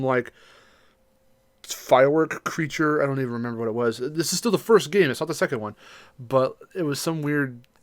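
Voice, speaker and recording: A man's voice: medium pitch, no echo. A man co-hosting a podcast in a casual, rambling way, often hesitantly rephrasing his thoughts. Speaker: podcast co-host.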